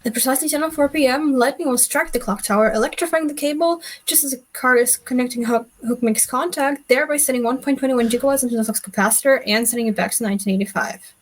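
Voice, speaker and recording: Woman reading as an eccentric old male inventor, high-pitched. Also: quick pace. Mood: explaining eagerly. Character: eccentric elderly male inventor, earnest and excitable. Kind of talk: film script table read.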